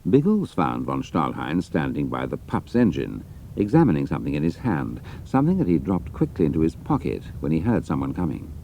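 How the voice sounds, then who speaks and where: Very deep, gravelly male narrator. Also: wildly swooping pitch, a little fast, mellow, slight background noise. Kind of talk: dramatic scripted narration of an adventure story.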